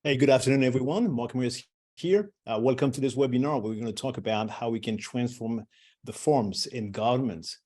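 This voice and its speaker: Middle-aged male presenter: mellow, relaxed tone, mid pitch, talks loosely with fillers and restarts. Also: fairly quick. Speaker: webinar presenter. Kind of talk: webinar presentation.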